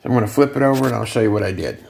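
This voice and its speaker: A man giving a casual hands-on technical demonstration, explaining things in a plainspoken, halting way. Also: lowish voice, vocal fry, a little mellow, a little hiss or hum. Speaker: guitar amp builder demonstrating a modification.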